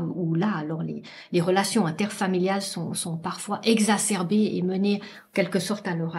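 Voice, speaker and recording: Mellow-voiced woman, halting commentary. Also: medium pitch. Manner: hesitant, repeats words while thinking. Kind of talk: spoken commentary.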